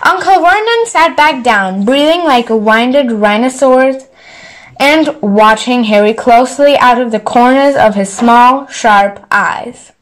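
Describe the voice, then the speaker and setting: A woman's voice: a little high, lively intonation, unhurried, poor audio. A woman storyteller narrating a bedtime story, reading it aloud from a book. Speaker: storyteller, channel host.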